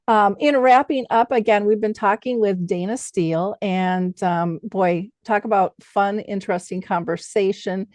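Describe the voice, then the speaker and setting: A woman's voice: medium pitch, unhurried, few pauses, darkish tone, no room sound. A woman talking conversationally as the host of an interview show.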